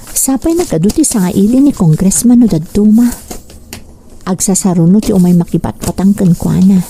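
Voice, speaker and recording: A Filipino actress playing a dutiful young secretary in distress, emotional and pleading, in a radio drama. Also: female voice, fairly low pitch, vocal fry, warm tone, slight background noise. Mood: dutiful, calm. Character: distressed young female secretary, dutiful, harassed by her boss. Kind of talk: Ilocano radio drama acting. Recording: music behind the voice.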